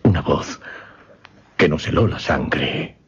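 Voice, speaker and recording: An actor playing a shaken gentleman recounting a supernatural horror in a radio play, grave, formal and halting. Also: rumbling low, expressive, husky, heavily acted. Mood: grave dread. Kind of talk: suspense radio theatre acting. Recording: dull, muffled radio recording.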